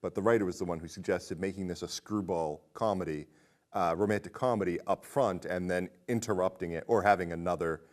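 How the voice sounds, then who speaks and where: A man's voice: low voice, a little fast. A man hosting a casual film discussion in a chatty, jokey way. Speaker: podcast host.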